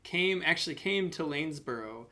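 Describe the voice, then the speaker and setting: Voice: mid-range voice, animated, moderate pace, pushed and bright. A podcast host interviewing casually, thoughtfully working out comments and questions while speaking.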